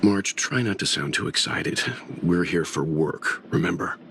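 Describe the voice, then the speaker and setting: An actor voicing a calm, guarded mature man in a video game, measured and reserved. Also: low-pitched, background noise. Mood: admonishing. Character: calm, serious, guarded mature male traveler.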